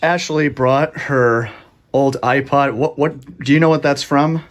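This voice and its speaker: A man's voice: mid-range voice, steady tone, measured pace. A man in his thirties hosting behind-the-scenes banter in a living room, playful and jokey with mock-dramatic bits. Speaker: host. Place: living room with couch and guitars.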